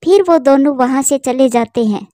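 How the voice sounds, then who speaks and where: A woman narrating a children's cartoon story with steady, plain delivery. Her voice is fairly high and mellow, recorded dry and close. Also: moderate pace, dry recording.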